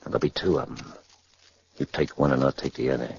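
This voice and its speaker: An actor playing a desperate, hard-bitten convict with a gruff, urgent manner in a 1940s radio drama. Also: male voice, a bass voice, steady tone, a little fast, darkish tone. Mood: tense, urgent. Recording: muffled 1940s radio recording, faint noise.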